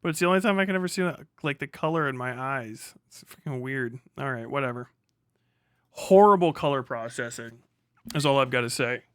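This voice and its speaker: A man streams casually in a close, dry recording with no room sound. He talks off the cuff in a medium-pitched voice, half to himself, and swears freely. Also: animated, a little fast, clean tone, no room sound. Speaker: livestream host. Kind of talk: casual unscripted livestream chat.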